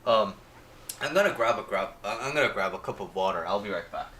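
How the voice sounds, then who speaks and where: A man hosting a casual livestream, his voice a little off from a sore throat, rambling with many pauses and talking to viewers by name. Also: fairly low pitch, animated, pushed and bright, a little hiss or hum. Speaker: life coach hosting a livestream.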